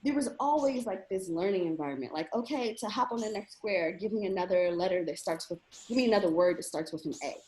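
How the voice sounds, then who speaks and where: A woman hosts an interview in a medium-pitched voice. Her style is casual and conversational, and she readily affirms what is said. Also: animated, fast, hardly any pauses, a little mellow, low-quality recording. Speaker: interviewer and host.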